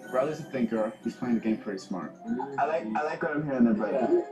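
An easygoing man speaks in a reality TV confessional, relaxed and chummy. His voice sits mid-range and sounds roomy. Loud music plays behind the replayed TV audio. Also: mid-range voice, quick pace. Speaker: reality show contestant. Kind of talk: confessional interview.

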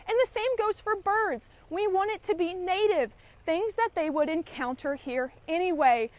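A young woman, a conservation educator giving a friendly, practical outdoor how-to lesson straight to camera. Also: extremely high pitch. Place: garden yard outdoors.

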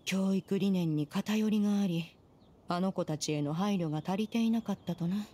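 A woman's voice: medium pitch, normal speed, mellow, lightly acted. A woman playing a proud, aloof immortal master in an anime game, speaking in imperious, formal, archaic language. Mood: reflective, solemn. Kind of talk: Japanese anime game voice acting.

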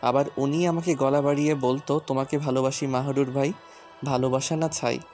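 A stern husband played by a male actor in an audio drama. He is curt and commanding, with a gruff, mocking edge. Soft music runs underneath his middle-pitched voice. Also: steady tone, brisk, lightly acted. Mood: mocking, teasing. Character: stern, gruff husband who teasingly scolds his wife. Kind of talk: audio story character acting.

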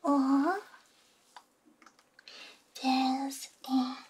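A young woman performing a gentle, soothing ASMR roleplay in a hushed whisper with soft hums, close on a binaural microphone. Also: high voice, steady tone, very slow, a little hiss or hum.

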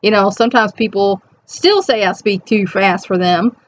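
A middle-aged woman with a high, soft voice, chatting reflectively to camera at home with rambling, conversational asides. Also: normal speed, a little hiss or hum. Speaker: host reading and commenting on an inspirational reflection. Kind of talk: casual reflective chat to camera with read-out passages. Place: small cluttered room at home. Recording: dull, lo-fi webcam sound.

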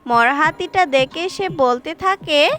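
A woman with a high-pitched voice narrates a children's tale. She reads slowly from a script, with faint music behind her. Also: fairly bright tone. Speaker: storyteller.

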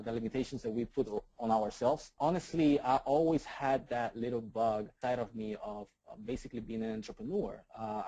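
An eager man in his thirties with a Puerto Rican accent, talking as an interview guest. His voice is mellow and relaxed, recorded dry and close. He often stumbles over repeated words and adds "right?" to his sentences. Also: mid-range voice, dry recording, rough recording. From Puerto Rico. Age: 30s. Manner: enthusiastic, repeats words, many "right?" tags. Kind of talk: casual interview talk.

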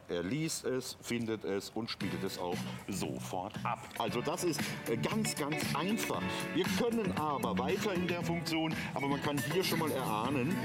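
A man working as a TV shopping presenter, explaining a product step by step in an unscripted, conversational way. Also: medium pitch. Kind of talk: unscripted live product demonstration. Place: TV studio.

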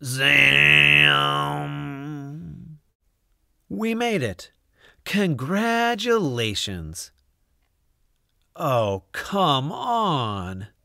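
A man reading a children's picture book aloud, expressive and theatrical, with dramatic drawn-out emphasis. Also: a little high, creaky, no room sound, clean studio sound. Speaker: storybook reader.

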